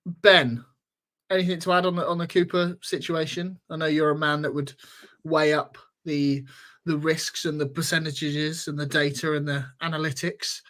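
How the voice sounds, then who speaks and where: A chatty man with a somewhat high voice hosts a relaxed podcast chat. He keeps the talk moving by putting questions to the others on the panel. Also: moderate pace. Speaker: podcast host. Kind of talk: casual podcast discussion.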